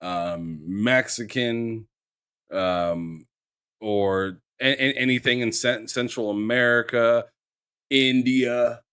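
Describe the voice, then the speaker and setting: A man co-hosting a casual gaming news show, speaking haltingly and stammering as he starts his points. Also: medium pitch, steady tone, fairly bright tone, smooth. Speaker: podcast co-host.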